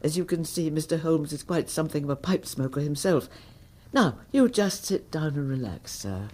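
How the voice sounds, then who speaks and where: An actress playing a courteous, reassuring housekeeper in a radio drama, polite and deferential. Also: female voice, low voice, expressive, moderate pace, a little mellow.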